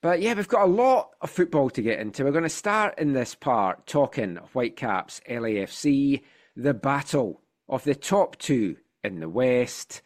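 A man's voice: mid-range voice, very animated, moderate pace. A Scottish man hosting a football podcast in casual chat, telling things with wry animation, slightly congested from a sinus infection. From Scotland.

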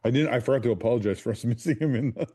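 A man's voice: medium pitch, racing, mellow, breathy edge, no room sound, clean studio sound. A man co-hosting a casual football podcast, laid-back and hesitant, often repeating words. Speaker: podcast co-host.

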